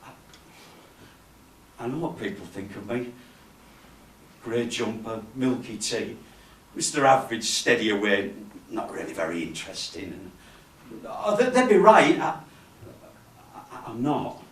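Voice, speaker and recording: A man's voice: mid-range voice, big pitch swings, a little slow, long pauses. An actor playing a nervous, lonely, unassuming man who stammers and halts through a self-deprecating comic stage monologue. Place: cafe bar performance space. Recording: echoey room, distant camera microphone.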